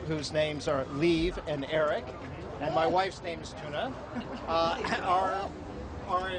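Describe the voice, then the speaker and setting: A man campaigning for the Senate, chatting genially outdoors in a halting way full of fillers. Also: fairly high pitch, fairly even intonation, a crowd in the background. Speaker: Senate candidate. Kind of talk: casual campaign chat. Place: outdoors on a town street. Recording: street noise outdoors.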